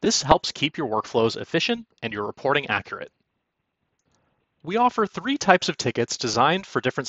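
Lively scripted male presenter, dry muffled recording. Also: medium pitch, dry recording. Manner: polished, instructional. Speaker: product demo presenter. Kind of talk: scripted narration for a software walkthrough. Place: quiet, dry room, recorded as voice-over.

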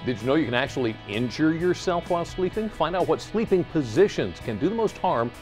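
A middle-aged man co-hosting a TV magazine show in a studio, reading segments with a lively delivery. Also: fairly high pitch, fairly quick, soft background music. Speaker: co-host. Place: TV studio set.

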